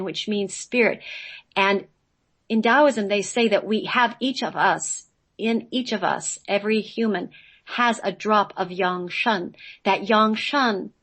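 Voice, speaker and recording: A woman with a medium-pitched voice speaks as a radio show guest. She explains things conversationally and earnestly, often in halting phrases, pausing to spell a word out. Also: a bit bright.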